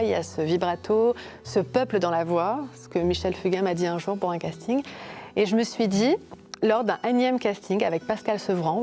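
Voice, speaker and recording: Mellow-voiced woman from the Algarve. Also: medium pitch. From Algarve, Portugal. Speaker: fado singer. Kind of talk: documentary interview.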